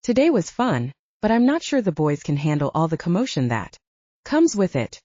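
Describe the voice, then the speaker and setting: Woman reader as a gentle, mellow young mother. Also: on the low side, sing-song, moderate pace, dry recording, muffled recording. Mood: tired, fond. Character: gentle mother, affectionate wife talking over family matters.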